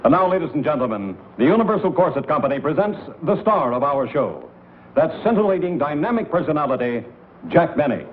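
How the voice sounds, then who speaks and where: Tinny old-time announcer. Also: male voice, fairly high pitch, very animated, brisk. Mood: grand, formal. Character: formal male radio announcer at an audition. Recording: tinny old broadcast soundtrack, faint noise.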